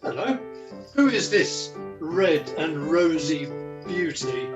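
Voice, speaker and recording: An echoey, distant home video call carries a man playing a foolish pantomime jester. He has a high voice and declaims in a mock-grand, old-fashioned way, protective of his companion. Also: sing-song, takes it slowly, over music. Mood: wry, intrigued. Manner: theatrical, mock-archaic declaiming. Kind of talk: amateur pantomime acting. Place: at home, indoors.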